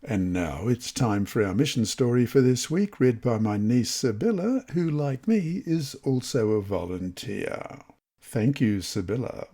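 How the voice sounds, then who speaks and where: A man's voice: medium pitch, lively intonation, measured pace, mellow. A man reading a scripted religious study lesson as a volunteer presenter. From Australia.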